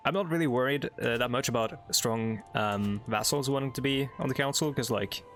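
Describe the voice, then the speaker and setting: A young Norwegian man talks through a strategy game in loose, filler-filled asides, a Norwegian accent coloring his English. His voice sits mid-pitch with a gravelly fry. Faint music hums underneath. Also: normal speed, a bit bright. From Norway. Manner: rambling, filler-heavy, chatty asides while reading game text. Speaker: let's play host. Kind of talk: casual gameplay commentary.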